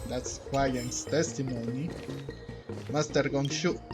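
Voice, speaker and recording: A man giving casual commentary on a game playthrough in a halting, rambling way. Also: medium pitch, steady tone. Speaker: gaming video host. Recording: faint music behind.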